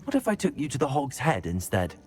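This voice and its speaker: An actor playing a level-headed young student in a video game, polite and composed with a dry, teasing edge. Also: male voice, mid-range voice, wildly swooping pitch, brisk, a little mellow, soft background music. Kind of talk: video game character acting.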